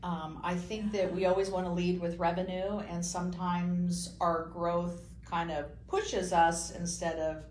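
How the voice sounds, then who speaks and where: A woman realtor talks as a guest in a casual interview, reflective and often trailing off mid-thought. Her fairly low voice sounds in an echoey room with faint noise behind it. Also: level tone, measured pace, few pauses, darkish tone.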